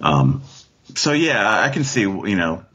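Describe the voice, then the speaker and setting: A man with a fairly low, husky voice talks casually as a guest on a discussion show. He feels his way through his points and often checks for agreement. The audio is a little dull. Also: very animated, a little slow, fairly bright tone. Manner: tentative, often seeking agreement with "right?". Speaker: guest author.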